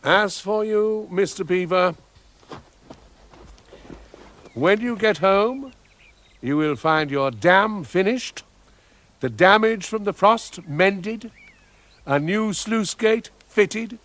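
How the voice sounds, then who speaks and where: A man's voice: high voice, expressive, takes it slowly, a bit bright, no echo, full character voice. A man playing a grand, benevolent old Father Christmas in a radio drama, with a British accent and a solemn, declamatory manner. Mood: hearty generosity. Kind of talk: dramatic radio play acting.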